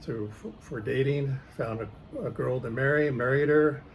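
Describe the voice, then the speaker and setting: A man's voice: mid-range voice, measured pace, slight background noise, poor audio. Middle-aged American man, laid-back chat. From California, United States. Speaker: guest, retired executive. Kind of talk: casual chat.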